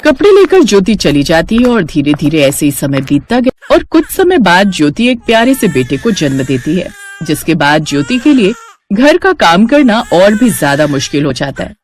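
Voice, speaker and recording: A woman's voice: fairly low pitch, sing-song, moderate pace. A woman narrating a scripted family moral story as a storyteller.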